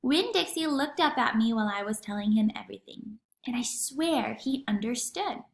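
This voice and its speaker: High lively lady teacher reading a kids book out loud, kinda echoey room. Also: normal speed, creaky. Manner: animated, acts out dialogue. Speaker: teacher reading aloud for a lesson. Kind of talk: expressive children's storybook read-aloud.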